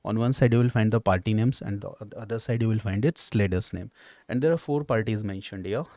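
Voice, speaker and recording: A man's voice: on the low side, a little fast, mellow, no room sound, studio quality. A man with an Indian accent explaining exam questions like a teacher, often checking in with "okay" and "right". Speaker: history teacher at exam coaching institute.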